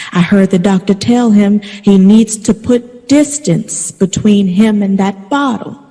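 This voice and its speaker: A woman's voice: medium pitch, mellow, breathy edge, exaggerated voice. An actress playing a worried, loving middle-aged mother reading her letter aloud in an audio drama. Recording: long reverb around the voice.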